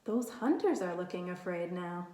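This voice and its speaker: A woman reading a storybook aloud to young children, expressively, pausing to put questions to her listeners. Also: lowish voice, warm tone. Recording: roomy, echoing sound.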